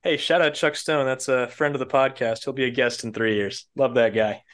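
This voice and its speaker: A young man co-hosting a podcast, dropping quick joking asides into casual chat. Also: mid-range voice, fairly even intonation, a bit bright. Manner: jokey interjections, quick asides. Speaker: podcast co-host.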